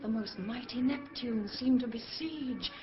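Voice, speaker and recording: A very high, airy spirit voice acted by a woman, eager and exultant, serving a master. The verse is declaimed in full theatrical audio-drama style. The recording is slightly muffled, with music behind it. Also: a little slow, close to an everyday voice.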